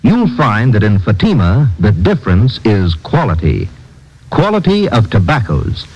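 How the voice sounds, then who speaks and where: Male vintage radio pitchman: mid-range voice, very animated and emphatic, dull band-limited recording. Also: measured pace, husky. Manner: emphatic, polished salesman delivery. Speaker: commercial announcer. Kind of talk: 1950s radio cigarette advertisement.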